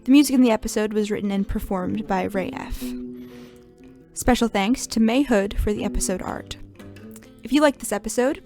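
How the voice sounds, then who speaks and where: A woman's voice: fairly high pitch, a little mellow. Woman reading scripted podcast credits. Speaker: credits announcer. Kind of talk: scripted narration.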